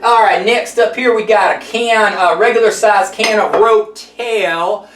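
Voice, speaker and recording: A man's voice: sits high, steady tone, unhurried, a bit bright, a little hiss or hum. Sassy rambling grandma cook, played by a man, breathless and folksy; distant mic, echoey room. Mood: chirpy. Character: chatty sassy old grandma cooking host. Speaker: cooking show host. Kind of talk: comedic character monologue. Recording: roomy sound, mic at a distance.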